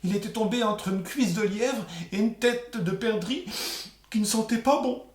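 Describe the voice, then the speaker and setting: A man's voice: high voice, moderate pace, darkish tone. A man telling a folk tale aloud in a theatrical, colloquial oral storytelling style. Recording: echoey room.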